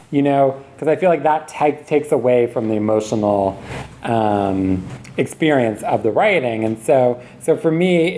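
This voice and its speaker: A woman's voice: rumbling low, dark tone. A woman author talking candidly about writing at a live event, thinking aloud in a meandering, emphatic way with frequent asides. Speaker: author speaking at a reading. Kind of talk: candid question-and-answer talk about writing. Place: university reading event, live before listeners. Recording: noticeable background noise, live event recording.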